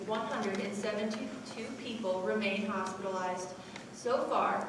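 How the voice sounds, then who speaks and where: A woman's voice: medium pitch, lightly acted. Woman acting a formal newsreader, very echoey. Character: formal female newsreader giving pandemic figures. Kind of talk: news announcement within a stage play. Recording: echoey hall from far off, noisy.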